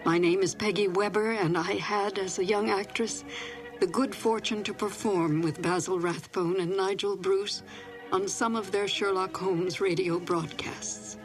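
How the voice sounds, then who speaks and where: An older woman presenting in formal, measured scripted narration. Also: medium pitch, fairly even intonation, soft background music, poor audio. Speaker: presenter introducing classic radio episodes.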